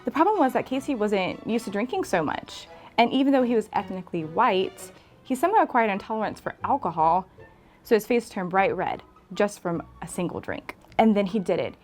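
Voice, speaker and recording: A woman's voice: medium pitch, very animated, fairly quick, a little mellow. Woman narrating a comic story over music. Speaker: guest storyteller. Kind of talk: scripted comedic storytelling narration.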